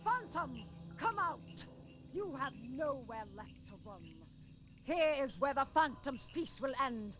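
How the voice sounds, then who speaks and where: A woman's voice: high voice, animated, slow, background noise. A woman playing a proud, vengeful African warrior in a cartoon, fierce and grandiose. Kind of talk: animated action series dialogue.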